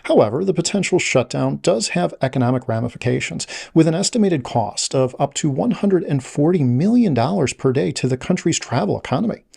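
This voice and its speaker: A man presenting scripted travel news in a measured, formal read-aloud delivery. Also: fairly high pitch, lively intonation, gravelly, mellow, slightly rough.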